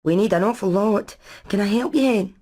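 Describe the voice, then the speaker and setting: A woman voicing a practical, clever engineer hen in an animated game, methodical and matter-of-fact. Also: medium pitch, brisk, close to an everyday voice. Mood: practical, careful. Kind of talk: animated video game voice acting.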